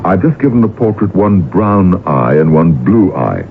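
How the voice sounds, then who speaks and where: A man's voice: sits low, sing-song, normal speed, vocal fry, full character voice. An actor playing an urbane male portrait painter in a radio drama, clipped and wry. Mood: wry, friendly. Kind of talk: 1980s radio drama acting. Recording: noisy lo-fi old radio broadcast.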